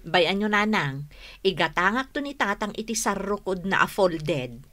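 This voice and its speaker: A woman's voice: medium pitch, lively intonation, measured pace, a bit bright. An actress with an Ilocano accent playing a bossy, caring wife and mother in a radio comedy drama. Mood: kind, attentive. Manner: bossy, nagging, practical.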